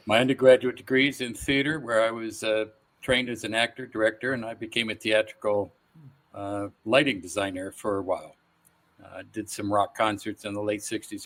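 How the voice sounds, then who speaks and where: A man's voice: on the low side, fairly even intonation, normal speed, fairly bright tone. A man over sixty chatting casually as an interview guest, reminiscing as he talks. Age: 60s or older. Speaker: guest voice actor.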